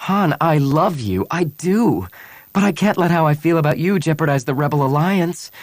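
A man's voice: medium pitch, expressive, moderate pace, no echo, studio quality, voice pushed up, close to an everyday voice. A man voicing an earnest young rebel woman commander in an audiobook, speaking in an emotional, pleading way. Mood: fervent. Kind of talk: audiobook character acting.

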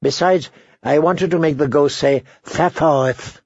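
A man voicing a proud, sardonic, wounded knight in an audiobook, speaking in hushed, dry tones. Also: moderate pace, slightly rough, dry recording, muffled recording. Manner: low whispering, sardonic.

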